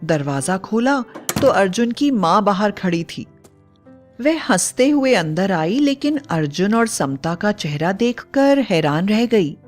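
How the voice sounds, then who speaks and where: A woman's voice: medium pitch, sing-song, unhurried. A woman narrating a scripted bedtime story as a storyteller. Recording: faint music bed. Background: soft background music.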